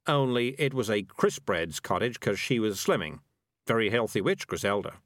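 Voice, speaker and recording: A sly male street cat who'll say anything for a snack, voiced by a man. He talks glibly and agreeably, his pitch lively. The sound is dry and close. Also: dry recording. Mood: agreeable. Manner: glib, agreeable, quick to make things up.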